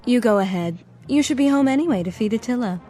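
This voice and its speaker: An actress playing a vain, glamorous, unfaithful wife in a radio drama, languid, cool and condescending. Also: female voice, on the high side, expressive, fast, mellow, close to an everyday voice. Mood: dismissive. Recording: faint music behind the voice.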